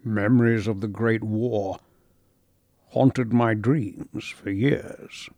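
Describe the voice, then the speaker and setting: A man voicing a thoughtful middle-aged professor who speaks in a measured, deliberate way. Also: medium pitch, expressive, vocal fry, fairly bright tone, no room sound, exaggerated voice. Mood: haunted.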